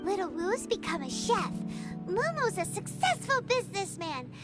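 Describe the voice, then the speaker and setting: A woman's voice: extremely high pitch, big pitch swings, moderate pace, over loud music. A woman voicing a tiny, chatty, childlike companion in a video game, blunt and curious.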